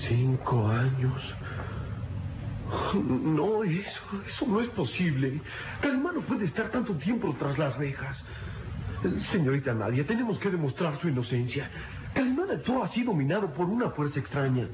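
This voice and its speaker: Casting: male actor as earnest young boy companion, fairly high voice, pleading, noisy radio serial recording. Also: sing-song, moderate pace, muffled recording. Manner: pleading, hesitant. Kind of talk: radio melodrama acting.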